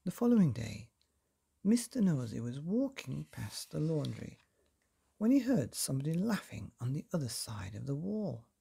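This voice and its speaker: A man reading a children's book as an expressive bedtime storyteller, deliberately and with dramatic pauses. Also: fairly high pitch, vocal fry, husky.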